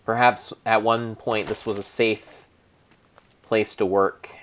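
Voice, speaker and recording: Guy with a real low voice doing over-the-top dramatic narration of a game, slight hiss and clicks. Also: flat delivery, a bit bright. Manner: solemn, theatrical, with pauses and small slips. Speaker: game streamer narrating play. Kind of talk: mock-dramatic storybook narration over gameplay.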